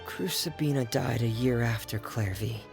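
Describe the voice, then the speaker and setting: A man voicing a reserved, hesitant young man in a video game, speaking softly. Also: medium pitch, moderate pace, vocal fry, lightly acted. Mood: subdued. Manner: soft-spoken, hesitant. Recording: game audio over music.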